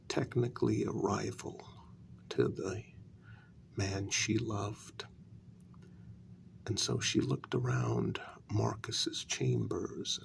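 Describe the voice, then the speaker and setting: The hushed, crackling, very slow voice one would hear at a vampire queen's elbow in an ASMR tale, a deliberate, insinuating interrogator reporting in whispers, acted by a man. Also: lowish voice, creaky, full character voice. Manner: slow, deliberate, with drawn-out pauses. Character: measured, insinuating male vampire interrogator serving his queen. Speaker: ASMR role-play performer. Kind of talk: soft-spoken ASMR role-play, mostly whispered.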